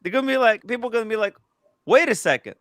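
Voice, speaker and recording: A man in his thirties hosting a casual chat about watches, talking excitably with lots of restarts and swearing. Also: extremely high pitch, rapid-fire, dry recording, clean studio sound. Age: 30s. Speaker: host.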